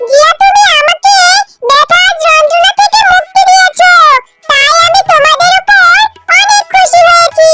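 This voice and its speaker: A woman voicing a kind, grateful magical golden fish in an animated children's cartoon. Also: very high voice. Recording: music behind the voice.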